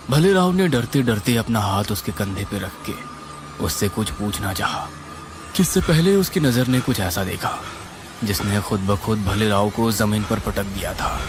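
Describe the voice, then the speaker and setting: A man narrating a scripted horror tale in a dramatic, suspenseful and expressive style. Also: lowish voice. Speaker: storyteller, narrator. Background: music.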